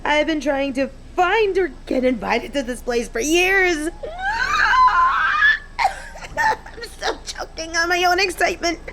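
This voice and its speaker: A young woman playing an excitable, sassy biker and machine nerd on a date in a romantic audio roleplay. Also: slow pace, noisy background, heavily acted. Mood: awestruck, giddy. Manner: gushing and teasing, swinging between squeals and low deadpan. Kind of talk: romantic audio roleplay, scripted monologue to an unseen listener.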